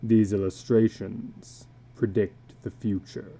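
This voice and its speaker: Man voicing a deep, brooding, weary old carnival man. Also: flat delivery, slow pace, gravelly, dark tone, breathy edge, exaggerated voice. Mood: ominous. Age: older. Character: weary, bitter tattooed carnival man, older, haunted. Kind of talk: dramatic reading of a short story.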